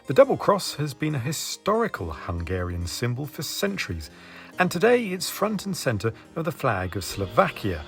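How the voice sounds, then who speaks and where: A man's voice: mid-range voice, fairly bright tone. A man hosting a history video, narrating a script with dry, wry asides. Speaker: video host. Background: background music.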